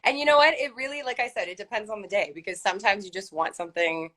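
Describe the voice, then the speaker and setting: A woman actress being interviewed in a casual chat, relaxed and rambling, laughing often. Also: quick pace. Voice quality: calls her own voice deep. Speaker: guest actress.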